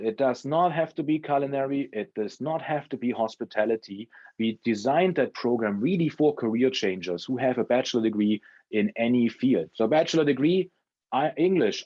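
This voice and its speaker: A man with a mid-range voice explains things as an academy's guest speaker. His phrasing is careful and measured, in an informational interview style. The recording sounds a little muffled and lo-fi. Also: mid-range voice, warm tone. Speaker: culinary school representative, guest speaker. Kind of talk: informational interview answers at an education fair talk.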